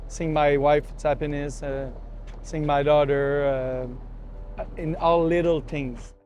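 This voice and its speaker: A man's voice: on the high side, level tone, takes it slowly, darkish tone. A man, reflecting casually in an interview. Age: 40s.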